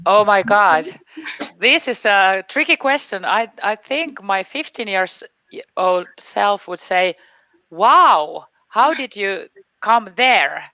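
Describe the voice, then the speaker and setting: A woman chatting casually as an interview guest, on a dull, low-fidelity recording. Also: animated, slow pace, pushed and bright, dry recording. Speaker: guest, teacher working with immigrants.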